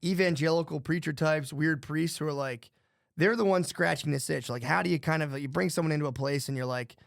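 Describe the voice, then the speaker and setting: A man's voice: fairly high pitch, brisk, gravelly, no room sound. A man co-hosting a podcast, rambling loosely and swearing, saying "dude" a lot, on a close studio mic. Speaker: podcast co-host. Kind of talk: casual podcast chat.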